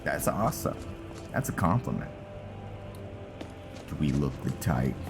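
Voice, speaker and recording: A man with a low voice commentates on a game as he plays. He speaks slowly and haltingly, thinking aloud as he goes, with clear music behind him. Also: big pitch swings. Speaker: gaming video host, player. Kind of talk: unscripted game commentary, roleplay musing.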